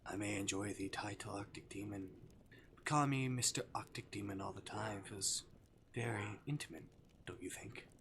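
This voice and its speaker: A man's voice: lowish voice, normal speed, fairly bright tone. A man voicing a playful, theatrical arctic demon from a visual novel, with mock-courteous, teasing menace. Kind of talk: visual novel character voice acting. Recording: clear background noise.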